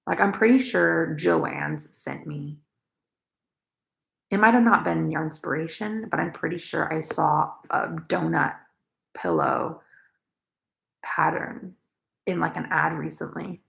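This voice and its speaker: Raspy low-voiced young woman. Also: slow pace, pauses often, a bit bright. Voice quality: raspy, gravelly. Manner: rambling, many fillers. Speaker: crochet vlogger. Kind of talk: casual livestream chat, reading comments.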